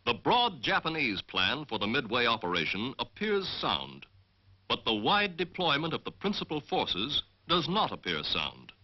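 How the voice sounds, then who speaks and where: A man with an American accent giving formal, measured, scripted military narration as the narrator of a training film. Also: mid-range voice, huge pitch swings. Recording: dull 1940s film soundtrack.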